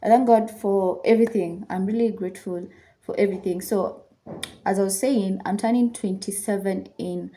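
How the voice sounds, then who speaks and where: A woman's voice: medium pitch, fairly even intonation, normal speed, mellow, a little hiss or hum. A woman, chatting casually to her viewers while she does her makeup, slipping Swahili phrases into her English. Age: 20s. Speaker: lifestyle YouTuber. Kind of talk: chatty get-ready-with-me talk while doing makeup. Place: indoors at home.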